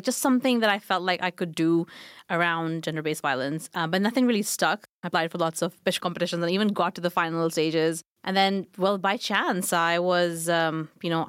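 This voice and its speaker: A woman in her thirties from Pakistan, a nonprofit founder answering interview questions in a relaxed way with plenty of fillers. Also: on the low side, clean tone, no room sound, studio quality. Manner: conversational, many fillers.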